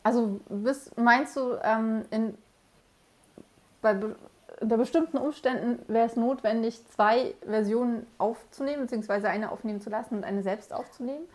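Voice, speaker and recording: A woman's voice: a little high, moderate pace, a little mellow. A woman in a casual discussion who asks questions and often stumbles and restarts her sentences.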